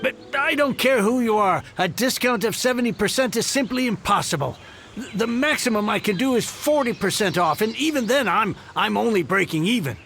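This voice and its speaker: A man's voice: high-pitched, animated, a little fast, fairly bright tone, a little hiss or hum. A man playing an anxious, easily flustered merchant in a video game, with a stammering delivery. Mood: flustered, haggling. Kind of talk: video game character acting.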